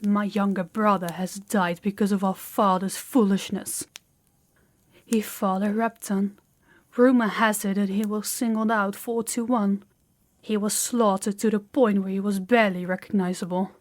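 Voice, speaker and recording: An imperious young princess in a fantasy audio roleplay, played by a woman. She has a mid-pitched female voice and talks deliberately, with haughty condescension and an air of command. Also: fairly even intonation, close to an everyday voice. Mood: stern, exasperated.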